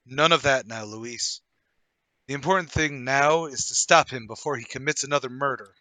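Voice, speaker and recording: A man playing a hearty, brash English-gentleman type with theatrical banter in a radio play table read. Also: mid-range voice, normal speed, dry recording, close to an everyday voice. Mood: grave, urgent. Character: cheery, brash gentleman brother-in-law with hidden cunning.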